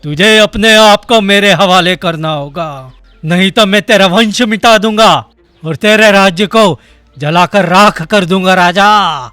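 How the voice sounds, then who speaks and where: A man voicing a huge, vengeful male demon king in a cartoon, speaking slowly and threateningly. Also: high-pitched, pushed and bright, clean tone, no room sound. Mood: menacing. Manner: threatening, slow, commanding. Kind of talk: Hindi cartoon voice acting.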